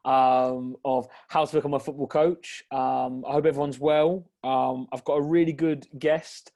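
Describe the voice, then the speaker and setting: A man's voice: medium pitch, flat delivery, measured pace, mellow. A man hosting an interview podcast on a call, chatty and easygoing, with many fillers. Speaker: podcast host. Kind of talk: casual interview. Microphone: video call.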